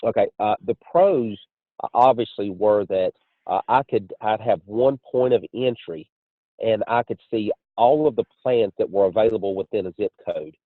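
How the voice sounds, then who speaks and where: A man giving a measured, explanatory testimonial as an insurance agent on a conference call. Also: fairly low pitch. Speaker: insurance agent and training director. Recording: muffled phone line with room echo.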